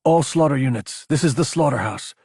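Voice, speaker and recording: A man's voice: mid-range voice, fairly quick, vocal fry, a little mellow, slightly rough, dry recording, studio quality, voice pushed up. A man voicing a female military dispatcher who gives alert, businesslike, emphatic radio announcements in an audiobook. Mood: urgent, alert.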